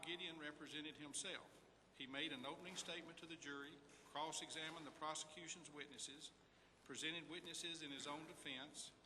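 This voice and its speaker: A man reading scripted narration aloud as narrator at a public event in a large hall. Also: fairly high pitch. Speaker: narrator at a readers theater event. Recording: echoey hall, clear background noise.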